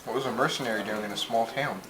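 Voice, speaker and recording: A man playing a casual, easily distracted listener in a puppet comedy, reacting in a deadpan way. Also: mid-range voice, racing, lightly acted. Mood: mildly puzzled. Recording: echoey room with clear noise.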